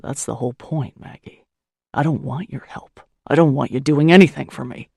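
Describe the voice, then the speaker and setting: A surly, worn-out man growls and barks in a scratchy, hoarse voice, as a woman narrator puts him on. The voice sits very low with gravelly fry and some huskiness. The recording is dry and close. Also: moderate pace, darkish tone, no echo, exaggerated voice. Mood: angry. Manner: barking, growling retorts. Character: gruff exhausted man, surly and snarling. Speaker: audiobook narrator.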